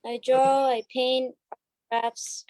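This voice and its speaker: High, mellow-voiced woman, hesitant and casual. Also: level tone, extremely slow, no room sound, low-quality recording. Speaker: cast member being interviewed. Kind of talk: casual chat.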